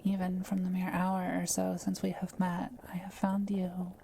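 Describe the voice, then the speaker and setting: A kindly, curious witch acted by a woman with a soft, dark-toned voice that sits a little low. She speaks in gentle, measured, politely formal phrases, as in an intimate audio roleplay, with faint ambient noise behind her. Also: monotone, creaky. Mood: warm, thoughtful. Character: kind, curious forest witch, soft-spoken and politely wry. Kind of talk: intimate scripted audio roleplay addressed to the listener.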